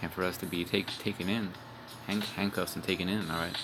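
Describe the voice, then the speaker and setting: A man's voice: low-pitched, fairly even intonation, a little fast. A young man giving an informal, rambling street-style sermon, cursing bluntly and tagging his lines with "man" and "all right". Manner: profane, blunt. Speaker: street preacher. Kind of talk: informal religious video sermon. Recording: music playing behind.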